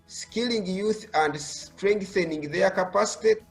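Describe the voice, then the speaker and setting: A man's voice: high-pitched, unhurried, gravelly, faint music behind, poor audio. A man from Uganda giving brief, earnest advocacy statements in a campaign video.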